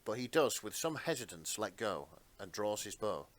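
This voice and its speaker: A man's voice: fairly low pitch, big pitch swings, normal speed. A man narrating fan fiction as a podcast co-host in a dramatic storytelling style.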